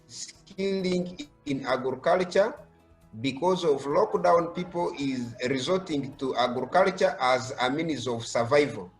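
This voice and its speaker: A man's voice: on the high side, unhurried, faint music behind, poor audio. A man from Uganda giving brief, earnest advocacy statements in a campaign video.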